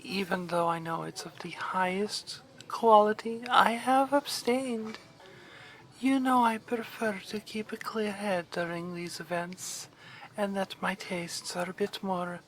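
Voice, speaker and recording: A woman's voice: medium pitch, close to an everyday voice, poor audio. A woman playing a refined, haughty vampire baroness in an ASMR roleplay, courteous and formal in her wording. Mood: courtly, charming.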